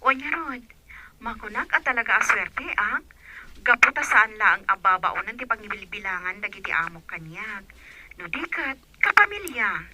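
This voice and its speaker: A woman's voice: mid-range voice, big pitch swings, moderate pace, very noisy, close to an everyday voice. A woman playing a chatty, supportive young friend in a radio-style drama, with an Ilocano accent. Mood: cheerful.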